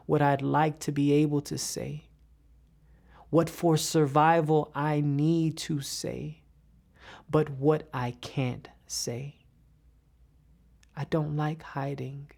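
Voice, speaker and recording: Slow, low-voiced woman reciting poetry. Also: creaky, warm tone. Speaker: poetry reader.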